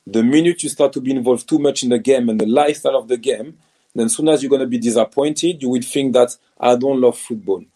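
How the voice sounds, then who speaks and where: A man's voice: mid-range voice, fairly quick, warm tone, breathy edge. A man from France with a French accent, a former professional footballer answering interview questions in a hesitant way, with many restarts and fillers.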